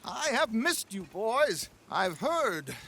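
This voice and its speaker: A man's voice: high voice, very animated, slow, vocal fry, pushed and bright, slight background noise, full character voice. An actor playing a slippery old-west con man in a video game, with a flowery, theatrical manner. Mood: dramatic, wry. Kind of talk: dramatic video game acting.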